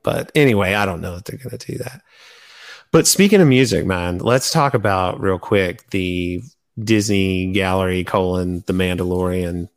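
A man chatting casually about fan topics as a podcast host. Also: sits low.

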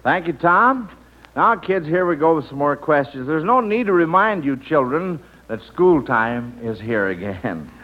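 A man hosting a children's quiz show on old radio, folksy and a little hesitant as he talks. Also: a little high. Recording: dull vintage radio broadcast.

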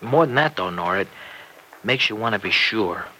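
An actor playing an earnest, anxious young farmer husband in an old-time radio drama, with a halting, tender way of talking. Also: male voice, lowish voice, lively intonation, pushed and bright. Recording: noisy vintage radio recording.

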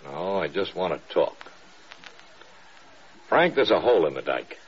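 Grave commander for radio drama: low, husky, weary, measured and earnest. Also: male voice, big pitch swings, exaggerated voice. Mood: grave, urgent. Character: grave, weary senior male commander confiding in a subordinate. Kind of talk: mid-century radio drama acting. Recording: dull old radio recording.

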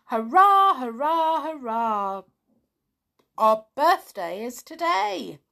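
A woman voicing an excitable little male pixie in a children's story, squealing with glee. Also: on the high side, slow, vocal fry, voice pushed up, exaggerated voice. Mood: gleeful. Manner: squealing, gleeful.

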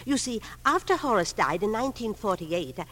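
Fluttery genteel old widow, bright, animated. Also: female voice, medium pitch, brisk. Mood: fussy, genteel. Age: older. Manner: hesitant, fluttery, refined, overly precise. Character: genteel, fussy, proud elderly widow. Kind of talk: 1950s radio drama acting.

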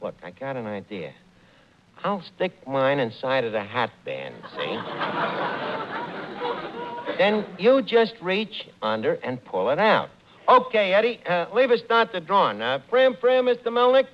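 A brash, conniving New hustler in an old radio comedy. His high voice swings widely as he blusters through mangled grammar. The sound is muffled and noisy, like a worn broadcast. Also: very animated, unhurried, a faint crowd. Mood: scheming, coaxing. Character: Archie, brash conniving lowbrow male raffle organizer, fast excuses. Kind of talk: 1940s radio comedy acting.

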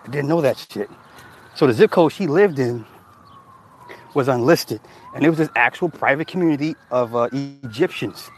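A man's voice: medium pitch, expressive, moderate pace. Loose, profane man chatting on stream. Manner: loose, profane storytelling, laughing at chat comments. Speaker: livestream host reading chat. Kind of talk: casual livestream chat.

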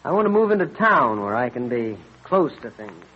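An actor playing an earnest, absorbed colonial astronomer husband in an old-time radio drama, explaining eagerly with mild hesitations. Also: male voice, mid-range voice, sing-song, moderate pace, a little hiss or hum, natural voice. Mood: earnest, gentle. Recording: dull vintage radio recording.

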